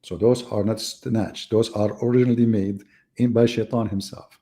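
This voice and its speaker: Low, gravelly, sarcastic man. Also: steady tone, normal speed, warm tone. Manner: sarcastic, teasing, rhetorical questions. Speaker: host of live religious debate. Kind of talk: mocking casual live commentary.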